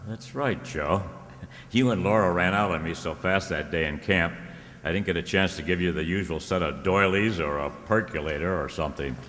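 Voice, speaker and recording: A man's voice: deep voice, moderate pace, fairly bright tone. A man playing an easygoing, wry private detective in an old-time radio drama, with a breezy, teasing manner. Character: George Valentine, easygoing wry male freelance detective. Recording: echoey hall with noticeable noise.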